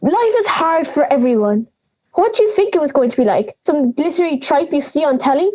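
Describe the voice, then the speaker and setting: A woman's voice: sits high, lively intonation, a little fast, a little mellow, highs cut off. A young woman playing a feisty, blunt granny of ninety in an audio drama, scolding and sarcastic. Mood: scolding, brash. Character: feisty, blunt ninety-year-old granny living in the woods.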